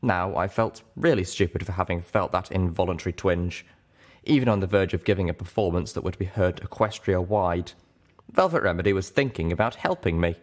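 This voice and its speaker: Low-pitched man narrating a story. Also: lively intonation, brisk. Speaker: audiobook narrator. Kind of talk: scripted first-person story narration.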